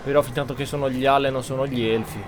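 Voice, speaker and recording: A man's voice: medium pitch, steady tone, rapid-fire, slight background noise. A man giving casual roleplay commentary while playing a video game, with game music behind him. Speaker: gaming streamer.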